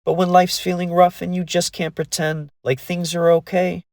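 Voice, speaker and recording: A man narrating a rhyming children's story in an expressive, sing-song way. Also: sits high, moderate pace, dry recording, clean studio sound.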